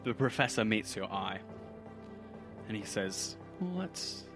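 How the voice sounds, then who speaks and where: A man's voice: lowish voice, fairly even intonation, measured pace. A man working as game master, narrating a role-playing game in a descriptive, theatrical storytelling way, with music behind him.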